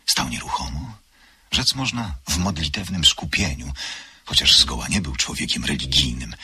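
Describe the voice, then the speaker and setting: A man narrating a radio drama in a measured, literary way. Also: very deep voice, bright, forceful tone, husky.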